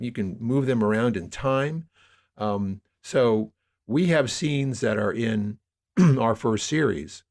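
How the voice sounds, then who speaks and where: Guy with a lowish voice, podcast guest explaining stuff, wordy, keeps rewording mid sentence. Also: darkish tone. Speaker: podcast guest, audio drama producer. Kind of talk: conversational interview, explaining his work.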